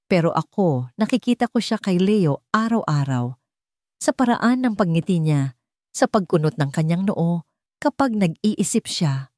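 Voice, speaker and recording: A woman's voice: on the low side, darkish tone, no room sound, studio quality. Woman acting a stern, fiercely protective mother. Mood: pained, insistent. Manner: emphatic, commanding, pained.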